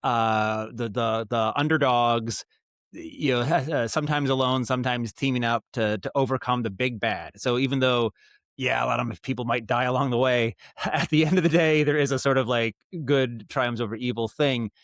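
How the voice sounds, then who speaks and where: A man's voice: mid-range voice, steady tone, moderate pace, fairly bright tone, no echo, clean studio sound. An American man chatting casually as an interview guest, thinking aloud in a rambling, thoughtful way and repeating words as he goes. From Maine, United States. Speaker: podcast guest, audio drama writer and director.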